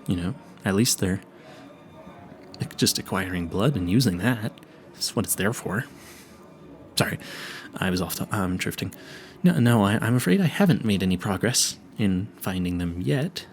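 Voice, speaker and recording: A man's voice: fairly low pitch, animated, moderate pace, pauses often, slight background noise. A man playing a clueless, earnest vampire hunter in an audio roleplay, stammering and rambling in a politely formal, pleading way. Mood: rambling, apologetic. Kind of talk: intimate audio roleplay addressed to the listener.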